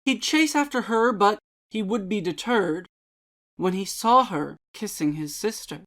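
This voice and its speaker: Female reader for dramatic literary pieces. Unhurried, measured, theatrical delivery, medium pitch. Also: lively intonation, fairly bright tone. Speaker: channel host and reader. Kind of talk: dramatic poetry and prose reading.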